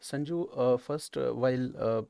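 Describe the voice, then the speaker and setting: A man's voice: medium pitch, normal speed, gravelly, dark tone, clear, resonant tone, no room sound, clean studio sound. A man with an Indian accent explaining exam questions like a teacher, often checking in with "okay" and "right". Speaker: history teacher at exam coaching institute.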